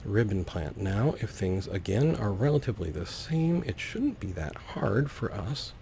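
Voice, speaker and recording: A man's voice: on the low side, big pitch swings, a little slow, creaky, darkish tone, a little hiss or hum. A man giving casual, easygoing gameplay commentary, talking through his actions step by step. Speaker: let's play host.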